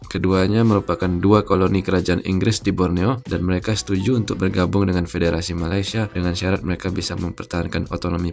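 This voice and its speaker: Fast, gravelly low-voiced male narrator. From Indonesia. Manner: steady, informative delivery. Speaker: vlogger narrating. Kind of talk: scripted travel voice-over.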